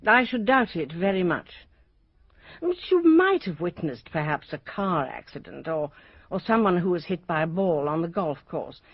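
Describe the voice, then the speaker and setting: A woman playing a brisk, unsentimental headmistress, speaking formally and bluntly in a radio drama. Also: fairly low pitch, lively intonation, no echo. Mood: measured, formal. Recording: dry, slightly dull radio audio.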